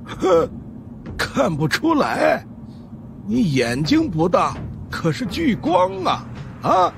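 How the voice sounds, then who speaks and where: A man's voice: high-pitched, huge pitch swings, takes it slowly, gravelly, background noise, voice pushed up, full character voice. A man voicing a sly, boastful old Taoist monk with a smug, teasing drawl, in an audiobook. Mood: grudgingly impressed. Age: older. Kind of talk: audiobook character acting.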